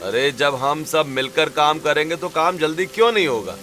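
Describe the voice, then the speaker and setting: A man's voice: on the high side, expressive, moderate pace, pushed and bright. A man voicing a kindly, cheerful village farmer in a cartoon story. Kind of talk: cartoon story narration and voice acting.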